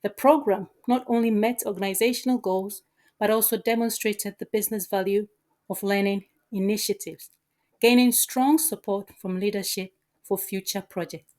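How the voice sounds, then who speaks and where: A woman answering as a job candidate, with formal, measured delivery of rehearsed interview answers. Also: medium pitch, steady tone, darkish tone.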